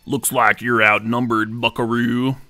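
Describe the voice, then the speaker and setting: A man voicing a villainous male chocolate milk glass who leads an outlaw gang, with a slow, menacing Southern drawl. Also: medium pitch, flat delivery, pushed and bright, dry recording, faint music behind.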